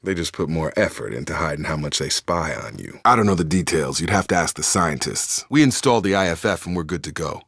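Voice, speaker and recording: An actor playing a steady, blunt male space soldier in a video game, with a plain-spoken, clipped military delivery. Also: extremely low pitch, brisk, gravelly, hardly any pauses, husky, no echo, clean studio sound, full character voice. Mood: firm, matter-of-fact.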